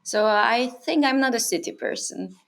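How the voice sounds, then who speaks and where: A woman in her thirties from Turkey, a native Turkish speaker, answers an interviewer's questions. Her voice sits a little high and has a gravelly edge. She talks thoughtfully, pausing and restarting as she goes. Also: fairly bright tone. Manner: thoughtful, with frequent fillers and restarts. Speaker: interview guest, freelancer. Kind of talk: casual interview conversation. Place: her home on an island.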